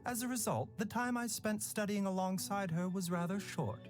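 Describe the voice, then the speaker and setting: An actor playing a calm, enigmatic young male doctor in a video game, speaking in a measured, unhurried way with a sly, teasing edge. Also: sits high, music in the background, natural voice. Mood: reflective.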